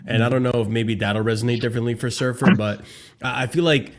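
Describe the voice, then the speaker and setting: A man's voice: medium pitch, fairly even intonation, fast talker, background noise. Man in his thirties, thoughtful and hedging. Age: 30s. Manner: thoughtful, hedging, self-correcting. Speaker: podcast co-host. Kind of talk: casual football chat.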